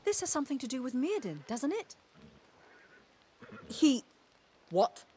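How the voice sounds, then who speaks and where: A concerned female ally in a fantasy game. Her voice is fairly high and very animated, with an expressive, questioning delivery. Light ambient noise sits behind it. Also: unhurried. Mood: impatient concern. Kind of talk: fantasy game cutscene acting.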